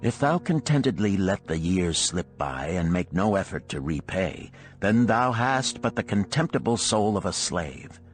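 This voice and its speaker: A man reads the part of a proud older desert wife in a storybook tale. She is cold and imperious, challenging her listener in formal archaic phrases, with soft music behind. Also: deep voice, sing-song, measured pace, hardly any pauses, voice pushed up. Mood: scornful.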